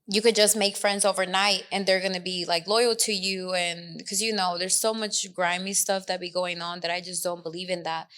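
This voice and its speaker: A woman's voice: fairly low pitch, fairly bright tone, smooth. A woman in her twenties with a Mexican American accent, talking earnestly in a casual podcast chat as co-host. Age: 20s. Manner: earnest, switches into Spanish mid-sentence. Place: Dallas.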